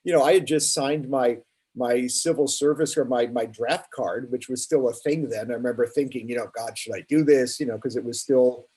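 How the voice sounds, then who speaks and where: A man's voice: mid-range voice, fairly even intonation, moderate pace, warm tone, breathy edge. A man in his sixties reminiscing conversationally as a panelist on a video call. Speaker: panelist author.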